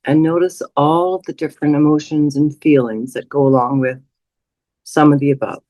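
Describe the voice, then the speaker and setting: A woman leading a guided meditation as a teacher, speaking in a soothing, unhurried way with long pauses. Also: low-pitched, steady tone, warm tone, no room sound.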